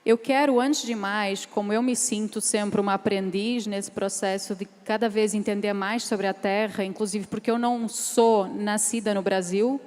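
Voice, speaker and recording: A woman from Portugal, speaking on a seminar panel and reading a passage aloud in a measured, careful way. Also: medium pitch, steady tone, darkish tone. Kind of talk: seminar talk with a read passage. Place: large event hall. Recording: reverberant hall.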